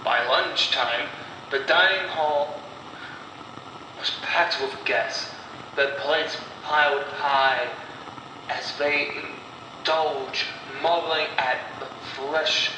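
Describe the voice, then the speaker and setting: Male horror storyteller, unhurried, dramatic pauses, fairly high voice, scripted narration. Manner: slow, deliberate delivery with dramatic pauses. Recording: echoey, distant voice with clear noise.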